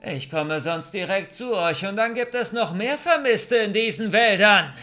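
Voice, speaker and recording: Narrator doing a big menacing man, shouting high and loud. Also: expressive, full character voice. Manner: shouting, drawn-out threatening calls. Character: large, violent, menacing man shouting threats. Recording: music behind the voice.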